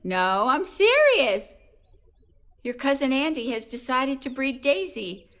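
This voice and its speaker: A middle-aged woman playing a caring mother of a teenage boy in an audio drama, speaking gently and coaxingly. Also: sits high, sing-song, slow, heavily acted. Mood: warm, earnest.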